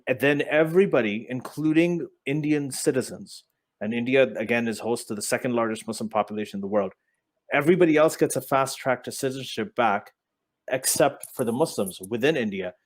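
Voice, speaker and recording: A man's voice: mid-range voice, fairly quick. Insistent questioning man raised in Saudi Arabia and Pakistan. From grew up in Saudi Arabia and Pakistan. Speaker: debate co-host. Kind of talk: online debate.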